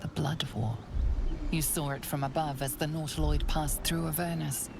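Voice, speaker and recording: An actress voicing a solemn narrator of a fantasy game, delivering scripted dramatic narration. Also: female voice, deep voice, fairly even intonation, moderate pace, creaky, background noise. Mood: grave, ominous.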